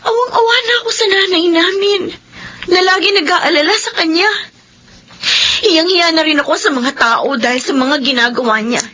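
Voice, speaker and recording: An actress playing a caring, exasperated young older sister in a Filipino radio drama, earnest and emotional. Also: female voice, very high-pitched, moderate pace, sharp tone. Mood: troubled.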